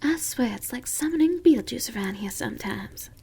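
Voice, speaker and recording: A woman playing a sweet, comforting young farmer's daughter with a Southern US accent in a gentle, folksy ASMR roleplay. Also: fairly high pitch, lively intonation, brisk, breathy edge, exaggerated voice. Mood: teasing. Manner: gentle, folksy, reassuring, giggly. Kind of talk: ASMR roleplay, intimate and slow.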